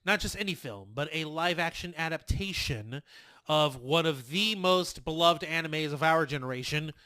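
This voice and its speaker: A high, bright male voice that hosts a casual review podcast with a lot of energy. He plays with his words and enjoys the banter with his co-hosts. The recording is dry and close to the mic. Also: moderate pace, no room sound. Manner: loud, energetic, playful. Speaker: podcast host.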